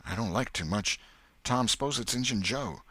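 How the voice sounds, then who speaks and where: A man's voice: mid-range voice, animated, normal speed, bright, forceful tone, husky, dry recording, voice pushed up. A man voicing a wary, gloomy country boy with a drawling rural Southern American dialect in an audiobook. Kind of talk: audiobook character acting.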